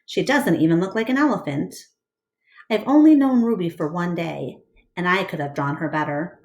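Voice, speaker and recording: A woman teacher reading a storybook aloud to her class with expressive narration. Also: fairly low pitch, normal speed.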